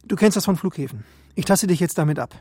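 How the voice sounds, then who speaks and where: A woman's voice: fairly low pitch, expressive, quick pace, background noise, close to an everyday voice. An actress playing a skeptical, practical woman in a group on the run in a radio crime play. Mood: brisk. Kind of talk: radio drama acting.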